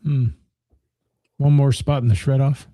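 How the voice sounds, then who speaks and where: A man's voice: mid-range voice, little pitch movement, a little slow, mellow, no room sound, studio quality. A man co-hosting a radio talk show, chatting casually and reading listener comments, joking and rambling while losing his voice.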